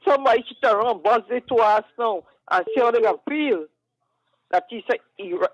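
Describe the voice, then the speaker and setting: A man speaking in an animated, urging way. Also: very high voice, fairly quick, no room sound, lo-fi sound.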